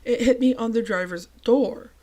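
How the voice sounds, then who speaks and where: A young adult storyteller whose voice still sounds a bit off from a recent cold. They talk in a chatty, self-mocking way and break into lively, emphatic bursts. The pitch sits in a middle range. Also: normal speed. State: recovering from a cold, voice a little off. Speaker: channel host telling a personal story. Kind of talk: storytime narration.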